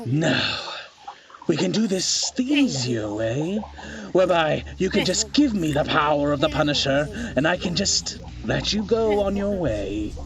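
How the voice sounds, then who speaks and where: An actor playing a grandiose, menacing villain warlord in a fantasy audio drama, with a theatrical, drawn-out delivery. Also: male voice, a little high, big pitch swings, far from the mic, crowd noise. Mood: menacing, threatening.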